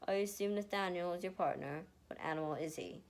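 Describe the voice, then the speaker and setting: A woman's voice: lowish voice, a little fast, gravelly. Female reader as disdainful fantasy dad, tall man, cold, clipped and scornful. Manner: disdainful, curt. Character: cold, scoffing, glaring father, tall man. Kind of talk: dramatized fan fiction reading.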